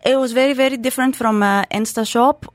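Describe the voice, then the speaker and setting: A woman in her thirties from Crete talks as a studio guest. She is chatty and rambling, full of fillers and restarts. Her voice sits high, and the room is dry and close. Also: steady tone, fast talker, no room sound. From Greece (Crete). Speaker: guest, startup co-founder. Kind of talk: studio interview. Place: radio studio.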